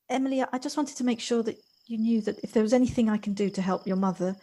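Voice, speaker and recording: A woman's voice: a little high, brisk, warm tone, lightly acted. A woman acting in an audio play as a polite, hesitant visitor, kindly and earnest, with small stammers. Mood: earnest, tentative. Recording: amateur home recording on personal device.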